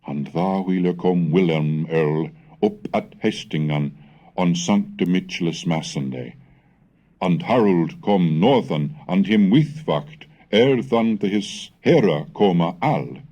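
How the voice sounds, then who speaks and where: Deep, mellow-voiced man in scholarly recitation. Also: lively intonation, slow pace, lo-fi sound. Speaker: narrator of a history of English lesson. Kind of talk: scholarly scripted narration and recitation of old texts.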